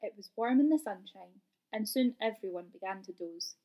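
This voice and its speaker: A woman reading a children's story aloud in an expressive, deliberate way. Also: medium pitch. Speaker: storybook reader.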